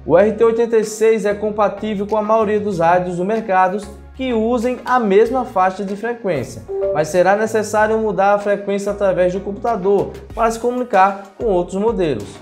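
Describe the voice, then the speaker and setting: A Brazilian man narrates a scripted product review. His voice sits high for a man, and music plays softly behind him. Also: normal speed, few pauses.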